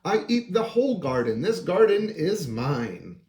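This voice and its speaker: A man's voice: a little high, big pitch swings, unhurried, a little mellow. A man voicing a greedy woodchuck in a storybook who sniffs and boasts about eating everything. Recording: roomy echo.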